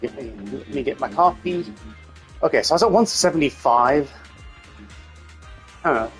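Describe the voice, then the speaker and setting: A man's voice: mid-range voice, lively intonation, moderate pace. A man thinking aloud in a rambling, casual way as he streams a video game. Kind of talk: casual livestream gameplay chat. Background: music.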